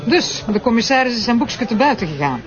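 A man's voice: very high voice, sing-song, fairly quick, faint music behind. A man playing a formal, decisive deputy public prosecutor in a crime drama. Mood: official, assured. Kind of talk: Flemish TV crime drama acting. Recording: dull lo-fi sound with crowd noise.

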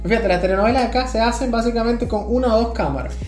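A Venezuelan man speaks in a roomy space with faint music underneath. His voice is mellow and relaxed. He explains things conversationally, as a podcast co-host does. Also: very high voice, rapid-fire.

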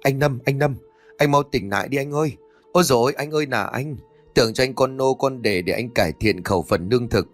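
A man's voice: medium pitch, natural voice. A man voicing a young petty crook, a slangy sidekick who laments with comic, exaggerated grief. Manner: lamenting in rhymed, exaggerated mock-mourning.

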